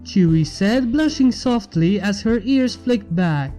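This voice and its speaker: A woman's voice: mid-range voice, expressive, slow, dark tone, faint music behind. A woman reading fan fiction aloud as scripted story narration.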